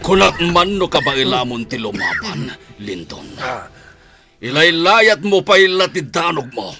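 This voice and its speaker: A man's voice: a little high, animated, a little slow, over loud music. An actor with a Filipino accent playing a violent, hot-tempered father who barks threats in a radio drama. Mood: furious, threatening. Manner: barking, threatening, shouting. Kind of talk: Philippine radio drama acting.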